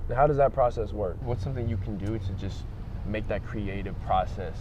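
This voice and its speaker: A man hosting an interview series, upbeat and casual, backing up his guest with quick affirmations. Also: on the low side, dark tone, a little hiss or hum. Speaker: interview host. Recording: dull lo-fi outdoor audio.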